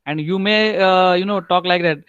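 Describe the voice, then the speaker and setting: A man with a Marathi-accented Indian English voice, teaching German in an online lecture, often repeating himself, asking "right?" and drawing out German words slowly. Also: high-pitched, fairly bright tone, clear, resonant tone, dry recording, muffled recording. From Maharashtra, India.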